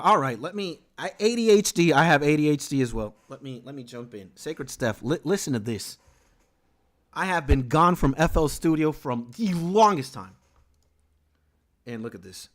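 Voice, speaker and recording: A man from Venezuela, a native Spanish speaker, chatting casually on a live stream about music and reading comments from chat aloud. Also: mid-range voice. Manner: lively, rambling, reads chat aloud.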